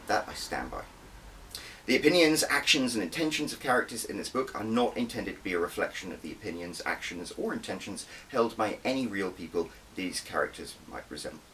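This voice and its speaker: A man's voice: on the low side, bright, forceful tone, recorded from a distance, a little hiss or hum, rough recording. A man reading his own story aloud as an audiobook, with a wry, conversational manner and dramatic emphasis. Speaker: author reading own book. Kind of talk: first-person audiobook narration.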